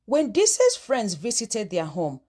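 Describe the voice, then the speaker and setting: A woman's voice: mid-range voice, huge pitch swings, takes it slowly, mellow. A woman narrating a scripted folktale as the storyteller.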